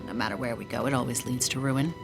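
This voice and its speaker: A fatalistic young noblewoman with a deep voice for a woman. She is languid, wry and theatrical in this audio drama, with music clearly playing behind her. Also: steady tone, fast talker. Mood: wistful, fatalistic. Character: jaded young aristocratic lady, idle, fatalistic and wry.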